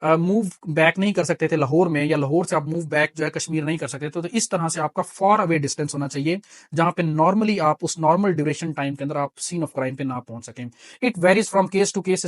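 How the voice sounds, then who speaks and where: A man's voice: on the high side, normal speed, no echo. A man with a Pakistani accent giving an explanatory law lecture, mixing in English terms and teaching didactically through examples and repeated key points.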